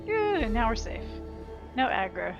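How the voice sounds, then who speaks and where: A woman's voice: on the high side, very animated, unhurried, vocal fry, muffled recording. A woman giving casual, self-deprecating gameplay commentary, thinking aloud informally as she plays. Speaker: gamer, let's play host. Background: game music and sounds.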